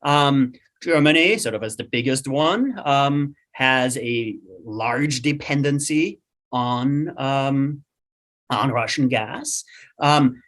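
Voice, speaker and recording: A man's voice: medium pitch, slow. Austrian-accented man explaining energy markets. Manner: lively, explanatory, frequent "right?" and "um". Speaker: guest expert. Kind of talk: explanatory podcast conversation.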